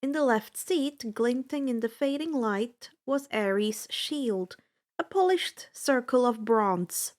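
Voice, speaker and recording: A woman reading a story aloud in an expressive first-person narration. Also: on the high side, takes it slowly. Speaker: fan fiction narrator.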